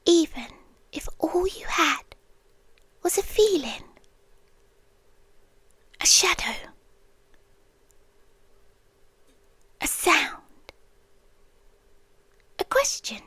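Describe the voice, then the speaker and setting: Woman acting obsessive yandere girlfriend, British accent, teasing and eerie, whispered ASMR roleplay, high-pitched, close dry sound. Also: sing-song, a bit bright, dry recording, heavily acted. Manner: slow, breathy whispering with long pauses.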